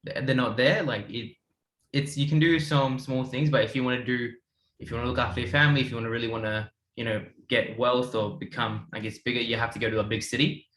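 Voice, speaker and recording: A man's voice: medium pitch, steady tone, fast, fairly bright tone, roomy sound, slight background noise, rough recording. A man in his twenties from India, telling his story in a rambling casual way with many restarts. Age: 20s. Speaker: guest. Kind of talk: casual panel chat.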